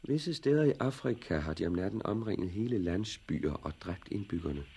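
An actor playing a brooding, cynical plant expert in a 1960s radio drama, deliberate and matter-of-fact about grim things. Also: male voice, sits low, expressive, gravelly, mellow, breathy edge, heavily acted. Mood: brooding, ominous.

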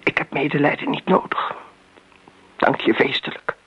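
A woman's voice: deep voice, normal speed, fairly bright tone, breathy edge, exaggerated voice. An actress playing a bitter, middle-aged daughter who defends her mother in a radio play, pointed and reproachful in manner. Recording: muffled old radio recording, background hiss.

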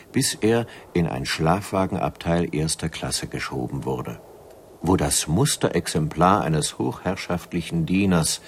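A man's voice: sits low, a little hiss or hum. A man reading scripted literary narration for a radio play.

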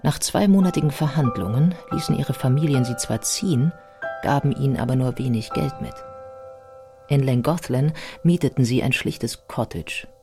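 A woman's voice: a bass voice, normal speed. A woman narrating a scripted biography as part of a radio play. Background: music.

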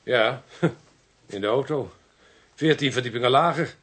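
An actor playing a matter-of-fact police assistant in a radio play, dry and slightly grumbling. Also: male voice, mid-range voice, measured pace, a bit bright, close to an everyday voice. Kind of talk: Dutch radio play acting.

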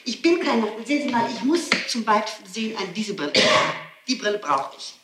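A woman's voice: mid-range voice, big pitch swings, moderate pace. Insistent woman on an echoey old tape. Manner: insistent, emphatic, repeats herself. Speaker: person being questioned by police. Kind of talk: statement under interrogation. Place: echoey interrogation room. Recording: noisy, echoey archival tape, distant mic.

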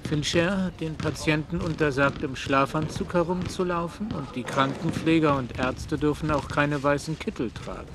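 In a long, echoing space, an actor plays a clinic doctor in a radio play. His male voice sits a little high. He explains formally and proudly, like a guide. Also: normal speed, few pauses, noisy background, natural voice. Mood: proud, instructive. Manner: formal, lecturing. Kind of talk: radio drama dialogue. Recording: long reverberant hall echo.

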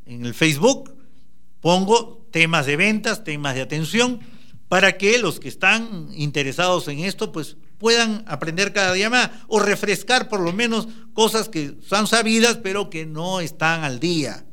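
A man's voice: high-pitched, lively intonation, moderate pace, pushed and bright, smooth. A man giving a motivational talk, emphatically urging the listener on and addressing them directly. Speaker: motivational speaker.

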